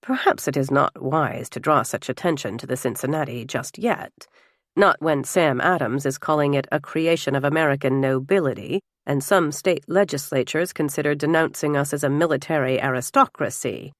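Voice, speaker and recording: A woman voicing a reserved, cautious gentleman in an audiobook, with a measured and reticent way of talking. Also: a little high, dry recording, clean studio sound. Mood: wary. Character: reserved, cautious gentleman, wary former officer. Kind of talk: audiobook character dialogue.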